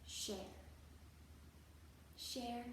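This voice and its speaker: A woman hosting a children's storytime, teaching young viewers in an encouraging manner and carefully stretching out key words. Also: a bit bright. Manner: encouraging, exaggerated slow enunciation of key words. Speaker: children's library storytime host. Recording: very echoey room, distant mic, faint noise.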